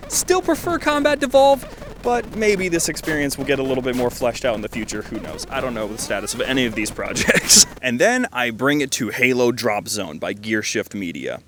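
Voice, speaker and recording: Higher-pitched man, rambling game reviewer. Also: sing-song, a little fast, background noise. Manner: rambling, self-interrupting asides. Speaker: video creator reviewing fan-made games. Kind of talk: casual commentary, half-scripted video review.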